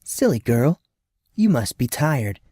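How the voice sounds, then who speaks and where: A man's voice: mid-range voice, very animated, takes it slowly, dark tone, no room sound. A man voicing a sweetly dismissive mother talking down to her young child, coaxing and a little dreamy. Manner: coaxing, patronizing.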